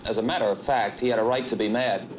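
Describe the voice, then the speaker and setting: A man playing a measured, understanding airline supervisor in a training film. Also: medium pitch, fairly even intonation, close to an everyday voice. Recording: muffled, faintly noisy 1970s film soundtrack.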